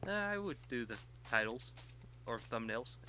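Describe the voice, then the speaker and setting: A man's voice: medium pitch, very animated, normal speed, pushed and bright, a little hiss or hum, poor audio. A man in casual gaming banter who has a goofy way of blurting out silly announcements. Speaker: let's play co-player.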